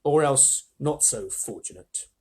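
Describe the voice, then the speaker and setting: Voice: medium pitch, expressive, unhurried, a little mellow, slightly rough. A parent reading a storybook aloud, voicing a great male wolf who heads the secret police, with mocking menace.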